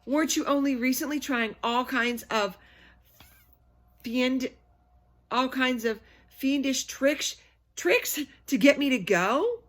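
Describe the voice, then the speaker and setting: A woman voicing a cold, stern babysitter in a children's book, deliberate and sarcastic, stressing her words. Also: high voice, animated, pitched above the performer's own voice. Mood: indignant. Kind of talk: children's book read-aloud.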